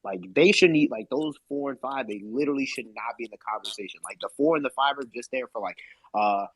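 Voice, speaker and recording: Husky guy hosting, blunt and pushy, dry close sound. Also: medium pitch, brisk, a bit bright, dry recording, low-quality recording. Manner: emphatic, dismissive, blunt. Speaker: discussion host. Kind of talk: casual discussion.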